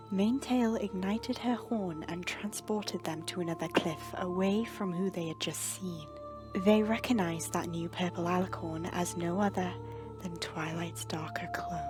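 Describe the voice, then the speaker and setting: A woman narrator reads a scripted story. Her voice sits a little low, and music plays clearly behind her.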